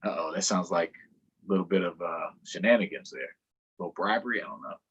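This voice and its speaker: A man's voice: sits low, a little fast, fairly bright tone, husky, highs cut off. A man hosting a storytime, reading to children and adding playful asides to the listeners. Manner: playful, addresses young listeners. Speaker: storytime host, reader. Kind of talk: animated children's read-aloud with asides.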